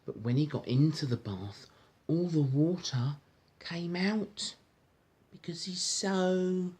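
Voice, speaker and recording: A man reading a storybook aloud to a child in an informal, encouraging, gentle way, with chatty asides. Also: on the high side, slow, slightly rough. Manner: encouraging, gentle, echoes the child's words back. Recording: home recording with faint background noise.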